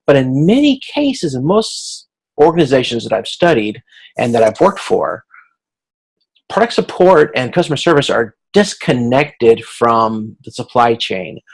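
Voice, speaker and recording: A man speaking as an instructor in an informal recorded lecture, explaining in a halting, conversational way with a gravelly voice. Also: medium pitch, very animated, poor audio. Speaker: instructor giving class feedback.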